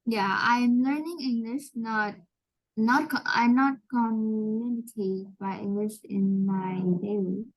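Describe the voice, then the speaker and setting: A young woman with a Vietnamese accent, an English learner speaking in halting sentences and searching for words. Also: a little high, fairly even intonation, poor audio. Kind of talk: online English lesson conversation.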